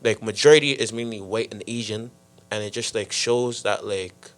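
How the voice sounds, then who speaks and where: A teenage boy speaking casually on a youth podcast, halting and leaning on "like" and "I feel like". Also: fairly low pitch, clear, resonant tone. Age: teens. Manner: halting, heavy use of "like" and "I feel like". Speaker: podcast panelist. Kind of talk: casual discussion.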